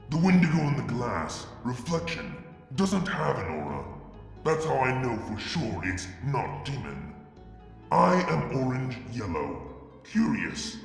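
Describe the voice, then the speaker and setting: A man reading a fanfic aloud in a soft, halting first-person narration. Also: mid-range voice, gravelly, reverberant, in a large hall. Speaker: fanfic reader. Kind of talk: dramatic story reading.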